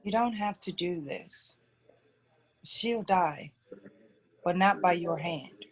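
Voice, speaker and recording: A woman playing a gentle, forgiving daughter returned from death, reading earnestly in a drama table read. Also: on the low side, slow. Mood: tender, pleading. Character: gentle forgiving ghostly young daughter, pleading girl.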